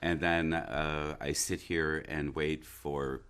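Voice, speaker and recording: Very deep-voiced, unhurried American man. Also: level tone, a bit bright, clear, resonant tone. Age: middle-aged. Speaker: stage actor, interview guest. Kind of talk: casual interview conversation.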